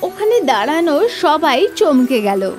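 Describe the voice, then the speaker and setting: A woman's voice: sits high, very animated, normal speed, soft background music. A woman narrating a scripted children's story as the storyteller.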